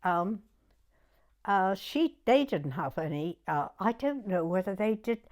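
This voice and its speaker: A woman in her nineties from Dorset with a southern English accent, reminiscing in an interview in a hesitant, halting way and repeating words. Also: medium pitch, big pitch swings. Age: 90s. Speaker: oral history interviewee.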